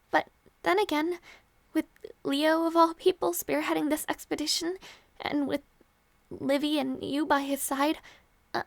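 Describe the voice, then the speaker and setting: A woman playing a gentle, easily flustered young princess in an intimate audio roleplay, speaking hesitantly with small stammers. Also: high voice, creaky, dry recording, exaggerated voice. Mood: tender, playful. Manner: hesitant, stammering, soft-hearted.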